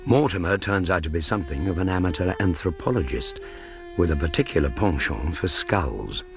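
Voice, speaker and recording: An actor playing a genial gentleman correspondent, narrating a letter in a radio drama with formal, measured, wry delivery. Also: sits low, lively intonation. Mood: wry, affectionate. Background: music.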